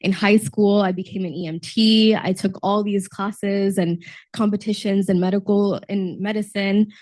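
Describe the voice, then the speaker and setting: A woman in her twenties from Texas speaks on a panel as a newer diplomat. Her voice is of middle pitch and slightly worn from a cold. She tells her story earnestly and fills her pauses often. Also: steady tone, darkish tone. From Texas, United States. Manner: earnest, storytelling with frequent fillers. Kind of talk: panel discussion.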